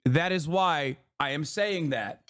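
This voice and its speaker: A man streaming and reacting to a podcast clip, profane and mocking, getting heated and loud. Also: a little high, slow, dry recording. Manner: profane, mocking, shouting in outrage. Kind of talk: heated live-stream commentary.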